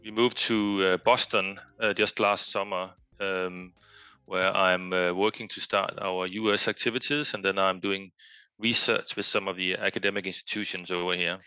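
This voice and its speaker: A middle-aged man with a Danish accent talks through his work as an interview guest, pausing often in a thoughtful, hesitant way. His voice is bright and smooth, slightly low in pitch, and recorded dry and close. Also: fairly even intonation, no room sound. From Denmark. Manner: thoughtful, hesitant, many filler pauses. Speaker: guest researcher. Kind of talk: conversational interview.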